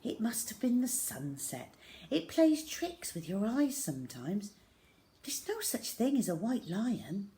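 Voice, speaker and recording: A woman voicing a gentle, anxious mother of a young boy in a children's story, soft and coaxing. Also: mid-range voice, very animated, measured pace, voice pushed up. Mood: soothing.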